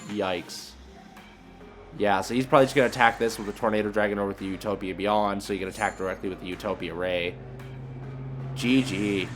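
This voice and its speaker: A man's voice: lowish voice, fairly even intonation, fairly quick, a bit bright, soft background music. A man giving casual gameplay commentary, thinking aloud in a hesitant, muttering way as he reasons through his moves. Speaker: video creator and card game player.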